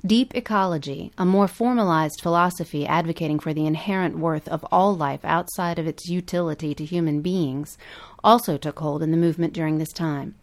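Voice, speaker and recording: A woman's voice: low-pitched, a little fast. A woman co-hosting a podcast, reading scripted documentary-style narration. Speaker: podcast co-host.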